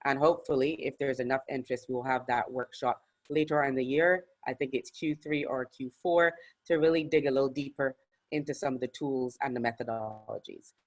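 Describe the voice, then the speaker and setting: Measured webinar presenter on a video call. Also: mid-range voice, steady tone. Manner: measured, structured, slightly halting with fillers. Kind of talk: presenting slides at an online workshop.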